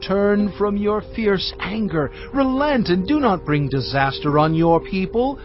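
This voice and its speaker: Beseeching prophet for dramatized scripture acted by a man, fairly high voice, earnest, music faintly behind. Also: animated, measured pace. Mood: pleading. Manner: impassioned, beseeching. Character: Moses, earnest pleading male prophet. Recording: dull band-limited sound, faint music.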